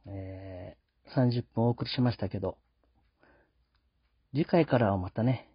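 A man hosting a show solo, speaking politely and reflectively in a casual, halting monologue. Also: fairly low pitch, husky, no echo. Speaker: host. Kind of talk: casual podcast sign-off monologue. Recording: dull lo-fi sound.

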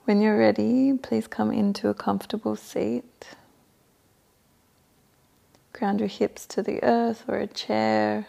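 A woman leading a guided meditation with gentle, soothing instructions. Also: mid-range voice, flat delivery, takes it slowly, gravelly, long pauses.

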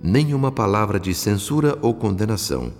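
A man's voice: lowish voice, expressive, normal speed, darkish tone, natural voice. A man with a Brazilian accent narrating a radio drama as a solemn observing spirit, in a literary, theatrical manner. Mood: grave, dramatic. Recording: long reverb, music underneath. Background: music.

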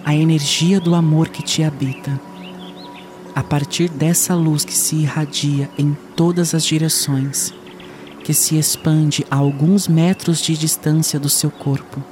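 A man leading a guided meditation in a soothing, unhurried way with long pauses. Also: fairly high pitch, fairly even intonation, a little mellow, faint music behind.

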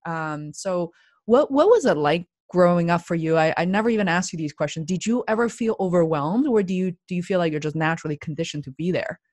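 Chatty woman host, low voice, rambling casual questions, dry close sound. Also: expressive, fairly quick, darkish tone, no room sound. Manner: chatty, rambling, restarts often. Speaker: interviewer. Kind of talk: casual conversational interview.

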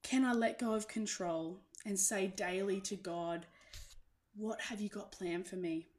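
A woman's voice: medium pitch, unhurried, a little mellow. A woman giving an informal sermon to an online congregation, speaking in an earnest, reflective and encouraging way. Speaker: church preacher.

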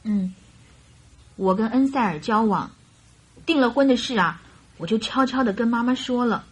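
High-voiced earnest young bride-to-be. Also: female voice, normal speed, slightly rough. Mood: earnest recounting. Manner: hesitant, chatty, naive storytelling. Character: earnest young bride-to-be recounting her missing fiancé. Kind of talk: radio drama acting.

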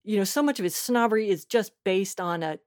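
A woman speaks as a guest on a casual interview podcast. Her voice sits at a middling pitch, with an effusive, giggly, halting delivery. The sound is dry, with no room echo. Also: lively intonation, a little mellow. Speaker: podcast guest, audio fiction writer.

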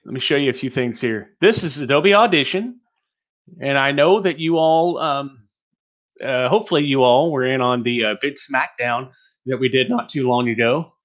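A man's voice: medium pitch, moderate pace, a bit bright, highs cut off. A man from Texas presenting an online teaching webinar in a rambling, joking, self-deprecating way.

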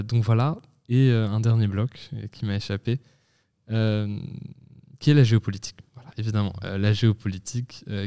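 A young man in his twenties, a student guest on a podcast, explaining things in a measured way with many pauses and self-corrections. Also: fairly low pitch, dark tone, clear, resonant tone. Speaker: guest, student association president. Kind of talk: casual podcast talk.

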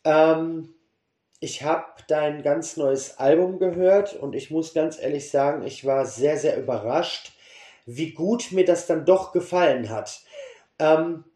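A man's voice: a little high, slow pace, dark tone. A man interviewing a guest in an enthusiastic way, with many fillers. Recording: echoey room.

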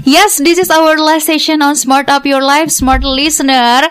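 A woman's voice: high voice, steady tone, normal speed, over music. A woman hosting a radio talk show, repeating and rephrasing her questions.

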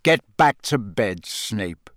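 A man voicing a gruff, battle-scarred older male professor in an audiobook, growling, taunting and sardonic with quiet menace. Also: on the low side, lively intonation, no echo, studio quality. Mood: menacing. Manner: barking, taunting, deliberate. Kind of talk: audiobook character voice.

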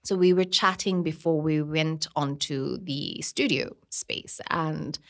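Kenyan lady, 40s, low voice, podcast chat, tells stories with lots of life. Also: slow, vocal fry. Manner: storytelling, animated when quoting others. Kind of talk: casual podcast conversation.

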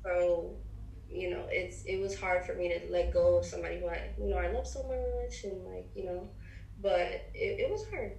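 A twenty-one-year-old woman chatting casually as a podcast guest, halting, with many fillers and restarts. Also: lowish voice, steady tone, gravelly, a little mellow, distant mic. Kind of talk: casual chat. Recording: echoey room, off-mic, faint hiss.

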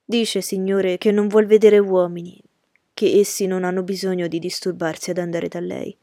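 A woman's voice: lowish voice, little pitch movement, moderate pace, mellow, no room sound, clean studio sound, lightly acted. A woman reading the part of a deferential, uneasy male servant bringing messages in an audiobook story. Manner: deferential, hesitant.

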